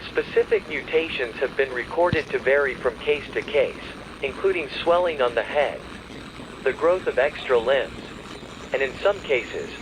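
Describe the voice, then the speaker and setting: Man reading an emergency alert over loud noise. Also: mid-range voice. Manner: formal, measured, official announcement delivery. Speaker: emergency broadcast announcer. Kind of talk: scripted emergency alert narration.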